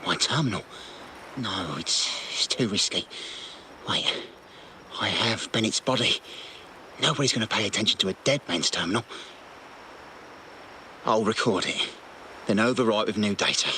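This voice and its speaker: A man's voice: fairly low pitch, normal speed, pauses often, sharp tone, slight background noise. An actor playing an uneasy, conscience-stricken henchman of a crime family in a video game, speaking anxiously and urgently. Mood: shocked, anxious. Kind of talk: dramatic video game voice acting.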